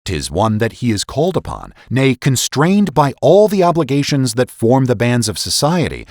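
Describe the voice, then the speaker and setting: A man narrating a formal historical essay as a dramatic reading, with deliberate, oratorical phrasing and emphatic delivery. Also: medium pitch, wildly swooping pitch, a little mellow, no echo, clean studio sound. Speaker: podcast host and narrator.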